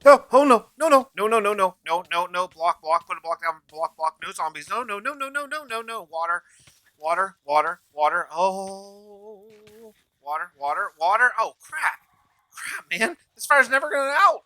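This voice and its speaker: A man's voice: sits high, sing-song, normal speed, pushed and bright. A man narrating his own survival game playthrough in a conversational, journal-like storytelling way, with light roleplay. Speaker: gaming video creator narrating a survival challenge.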